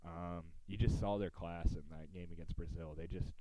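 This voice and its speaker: A man's voice: sits low, fairly even intonation, normal speed, dark tone, no room sound, low-quality recording. An American man hosting a podcast, talking sports in a casual, conversational style. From United States.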